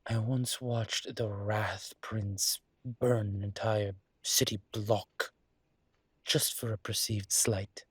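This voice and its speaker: A refined and wary ancient demon professor, acted by a man, speaks slowly and close in a very dry recording. The voice is husky, with formal, wry phrasing and halting pauses, in the style of an intimate audio roleplay. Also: lowish voice, steady tone, no room sound. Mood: wry, instructive.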